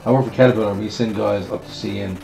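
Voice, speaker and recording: A man with a low, gravelly voice chats on a game stream, laughing often and tripping over his words. He sounds like he is in an echoey room with faint music behind. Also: fairly even intonation, fast. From Newfoundland. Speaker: co-host. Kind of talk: casual live-stream chat.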